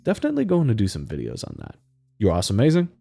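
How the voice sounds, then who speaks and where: Deep-voiced man gushing as a fan. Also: racing, creaky. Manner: excited, gushing fan talk. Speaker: YouTube commentator. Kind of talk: enthusiastic fan commentary.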